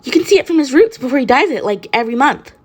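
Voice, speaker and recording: A woman plays a bubbly teenage girl in a story. She speaks in a raised, high voice, playful and teasing. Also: big pitch swings, fast talker. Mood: surprised, teasing.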